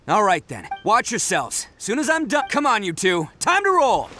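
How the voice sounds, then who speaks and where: An actor playing a loud, boastful young male oni gang boss in a video game, laughing often. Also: sits high, wildly swooping pitch, a little slow, exaggerated voice. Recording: game audio with music behind.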